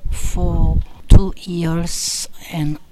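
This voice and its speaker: A woman's voice: fairly low pitch, steady tone, dark tone, a little hiss or hum, low-quality recording. A woman from Korea, introducing herself hesitantly in English class. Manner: hesitant, pausing.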